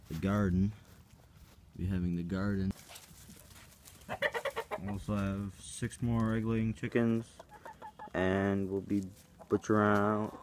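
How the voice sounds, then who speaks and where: Young man, farm vlogger, slow mellow delivery, loose and unsure, outdoor phone audio with faint noise. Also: deep voice. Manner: rambling, hesitant, unsure of plans. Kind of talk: casual walkaround tour. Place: outdoors on a farm yard and woods.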